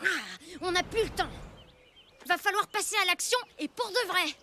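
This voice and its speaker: A woman voicing an earnest, excitable young prehistoric boy in a French cartoon dub. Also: extremely high pitch, normal speed, a little hiss or hum. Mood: hurried. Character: kind, clumsy young prehistoric boy with a pet dinosaur. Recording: music bed under the voice.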